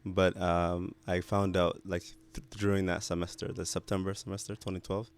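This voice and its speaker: Man, thirties, from Canada, podcast guest, deep gravelly voice, hesitant casual speech, restarts often. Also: little pitch movement, moderate pace. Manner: hesitant, many restarts, sprinkles Arabic religious phrases. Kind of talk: casual conversational interview.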